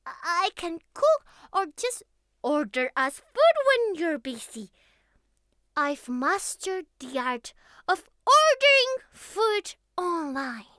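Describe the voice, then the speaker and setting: Very high-pitched, childlike, clingy catgirl. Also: very animated, slow pace, pushed and bright, dry recording, studio quality, exaggerated voice. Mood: eager, proud. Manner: childlike, pouty, stammering, affectionate. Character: young catgirl, former cat, clingy, playful, naive. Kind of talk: ASMR roleplay.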